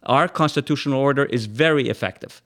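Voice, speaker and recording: A man's voice: mid-range voice, fast talker, fairly bright tone. A man co-hosting a podcast, insistently defending his view in a political debate. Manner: earnest, insistent, defends his points firmly. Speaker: podcast co-host.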